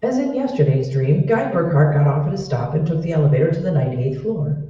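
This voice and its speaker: Male radio-drama narrator, very soft-edged tone, muffled and roomy recording. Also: a little high, lively intonation, quick pace, far from the mic.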